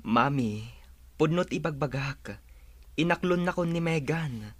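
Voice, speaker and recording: An actor playing an earnest, devoted young suitor in a radio drama. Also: male voice, a little high, expressive, unhurried, fairly bright tone, no echo, studio quality. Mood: pleading.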